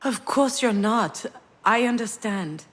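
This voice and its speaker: An actress playing a grieving, self-reproaching woman in a video game drama, speaking in an earnest, reflective, halting way. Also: on the high side, lively intonation, a bit bright, full character voice. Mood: calm, resolved. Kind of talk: dramatic visual novel voice acting with inner narration.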